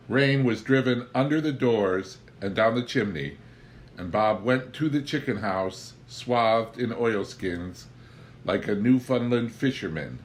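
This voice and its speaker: A man reading a humorous memoir aloud as an expressive storyteller, with wry, theatrical, deliberate phrasing. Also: lowish voice, a little hiss or hum. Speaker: audiobook reader.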